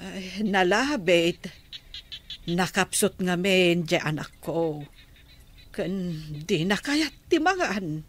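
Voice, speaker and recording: A woman's voice: on the low side, lively intonation, takes it slowly. A woman playing a worried, hesitant middle-aged mother in a radio drama. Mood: worried, evasive. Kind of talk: Ilocano radio drama acting.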